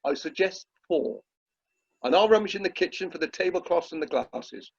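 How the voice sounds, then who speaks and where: Guy doing a pompous bossy british husband, high husky voice, radio play, muffled call audio. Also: expressive, normal speed, full character voice. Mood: irritated, bossy. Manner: pompous, self-dramatising, exasperated. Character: pompous, bossy amateur theatre chairman, irritable husband. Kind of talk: amateur radio play acting.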